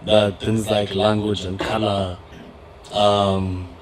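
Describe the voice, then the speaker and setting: A young man speaking reflectively in a public community discussion, measured and pausing often. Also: lowish voice, level tone, crowd noise. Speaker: audience member in a community dialogue. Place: event hall with audience.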